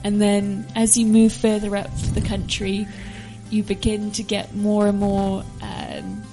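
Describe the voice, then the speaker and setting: A woman's voice: medium pitch, lively intonation, unhurried, over music, poor audio. Chatty woman from England. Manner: chatty and friendly. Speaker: guest volunteer. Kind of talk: casual interview answers.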